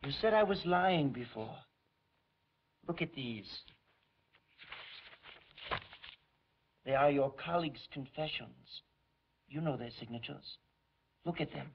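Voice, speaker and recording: A relentless soviet interrogator. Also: male voice, a little high, lively intonation, normal speed, gravelly, long pauses, background noise. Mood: insistent. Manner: cold and insistent, flaring into shouts. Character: relentless male Soviet interrogator officer, coaxing then bullying. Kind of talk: 1950s film acting. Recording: dull old film soundtrack.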